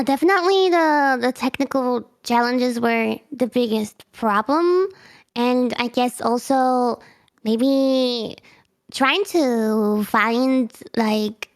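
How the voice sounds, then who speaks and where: A woman whose first language is German, a streamer guest chatting casually on a video call, bubbly and giggly, often restarting her sentences. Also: a little high. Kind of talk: casual interview chat.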